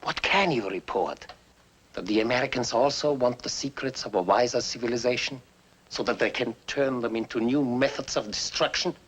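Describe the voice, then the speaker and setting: An actor playing a proud, bitter fugitive scientist with a scornful, theatrical manner, in the style of a 1950s film. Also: male voice, medium pitch, moderate pace, fairly bright tone, breathy edge. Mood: smug, defiant. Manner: theatrical, scornful, self-important. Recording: noisy old 1950s film soundtrack.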